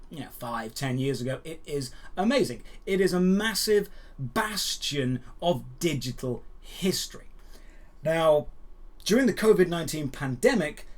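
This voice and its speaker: A man with a fairly high-set voice presents gaming news as a host. He delivers scripted commentary mixed with personal opinion. His phrasing is emphatic and measured, with key words stressed deliberately.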